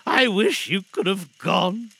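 A man's voice: high voice, slow pace, gravelly, pushed and bright, voice pushed up, full character voice. A man acting an earnest, tender-hearted storybook father. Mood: grieving, tender. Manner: earnest, emphatic, affectionate. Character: cheerful, tender-hearted working-class father, fighting back grief. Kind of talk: dramatised storybook reading.

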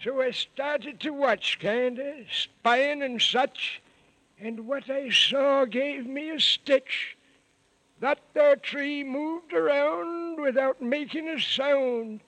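An actor in a 1930s radio serial playing a crotchety, folksy little old hermit who talks like a rustic old-timer. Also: male voice, extremely high pitch, slow, pushed and bright, no room sound, full character voice, rough recording. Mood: folksy, secretive.

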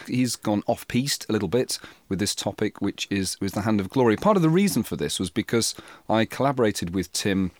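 A man's voice: fairly low pitch, expressive, brisk, few pauses, darkish tone, dry recording. A man hosting a podcast in a casual, bantering way as he introduces things. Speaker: podcast host.

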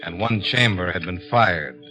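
An actor playing a cool, probing insurance investigator in a 1950s radio detective drama, clipped and wry. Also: male voice, low-pitched, measured pace, rough recording. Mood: terse narrating.

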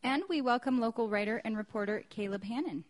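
A woman's voice: on the high side, fast. A woman with an American accent hosting a news and culture podcast in a casual, chatty style. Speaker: podcast host.

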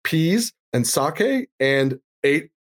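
A man's voice: fairly high pitch, very animated, extremely slow. Playful, enthusiastic podcast host man. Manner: enthusiastic, playful, rambling asides. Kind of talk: casual chat.

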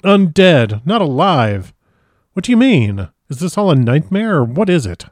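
Audiobook role: male reader as a bereaved young gentleman, proud and indignant, impassioned emphatic delivery, dry sound. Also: medium pitch, big pitch swings, drawn-out words, no echo, heavily acted. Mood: shocked, anguished. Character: passionate, indignant grieving young gentleman, easily outraged. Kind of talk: audiobook character voice.

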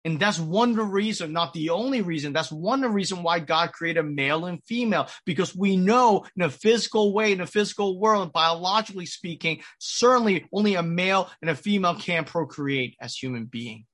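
A pastor delivering a sermon with an American accent, measured and pausing, with frequent restarts. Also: male voice, high voice, a bit bright. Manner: measured preaching with pauses and restarts.

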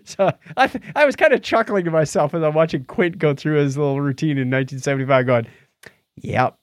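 A man's voice: on the high side, fairly quick, no echo, clean studio sound. A man co-hosting a podcast, chatting casually about movies and telling stories in a chatty way. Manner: chatty, animated storytelling. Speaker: podcast co-host.

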